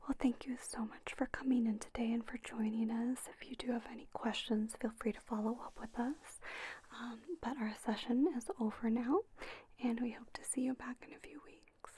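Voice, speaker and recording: A woman whispering softly and breathily in a gentle, unhurried ASMR roleplay, reassuring as she gives instructions like a spa owner. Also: a little high, fairly even intonation, slight background noise.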